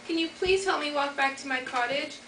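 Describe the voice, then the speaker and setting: Scheming, wheedling fairytale old-lady witch, high voice, played by a teen girl, distant echoey school stage. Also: brisk, fairly bright tone. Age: teenager. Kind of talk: amateur school readers' theater. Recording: echoey noisy hall from far off.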